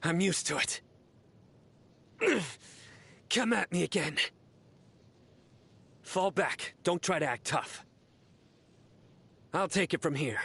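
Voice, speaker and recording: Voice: medium pitch, expressive, takes it slowly, long pauses, a bit bright, husky, heavily acted. An actor voicing a stern, righteous warrior judge in an anime game, with solemn, clipped, declamatory lines. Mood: stoic.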